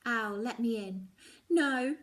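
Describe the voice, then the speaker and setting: A woman's voice: on the high side, lively intonation, takes it slowly. A woman voicing a distressed, defiant young girl with emotional, wailing outbursts in a children's story reading. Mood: upset. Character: distressed young girl frightened of her changing self, defiant. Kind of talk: dramatic children's novel reading.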